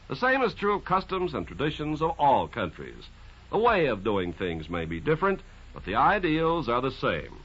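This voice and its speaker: An old-time American radio announcer with a sharply projected, highly melodic delivery. He reads polished scripted copy in a mid-century manner. The sound is a limited-range vintage broadcast. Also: sharp tone, husky, lo-fi sound.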